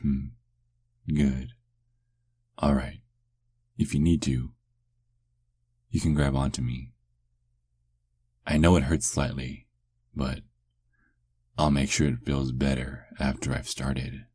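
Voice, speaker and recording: A man playing a doting, hungry vampire lover in an intimate ASMR roleplay, murmuring soothingly in a gravelly, husky voice. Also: extremely low pitch, level tone, slow, long pauses, darkish tone, full character voice. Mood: gentle, teasing.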